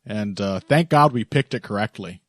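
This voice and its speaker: A man's voice: on the low side, lively intonation, normal speed, no echo, studio quality. A male podcast co-host chatting casually and analytically about fights, teasing his co-host and laughing easily.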